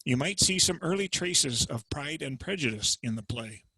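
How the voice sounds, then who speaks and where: A man introducing and narrating a dramatic reading over a video call, in a measured, explanatory way. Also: on the high side, expressive, pushed and bright, breathy edge, no echo, poor audio.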